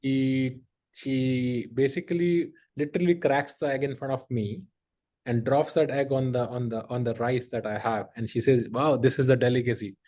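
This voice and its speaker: A man's voice: mid-range voice, steady tone, moderate pace, dark tone. A middle-aged man, hosting a podcast and telling stories in a rambling, filler-heavy way with lots of laughter. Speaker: podcast host. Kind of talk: casual conversational storytelling.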